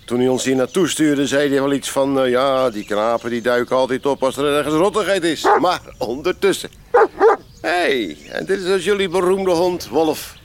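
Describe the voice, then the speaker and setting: A man's voice: medium pitch, animated, normal speed, close to an everyday voice. A man acting in a Dutch radio play as a jovial, chatty police sergeant full of approval. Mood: amused, impressed.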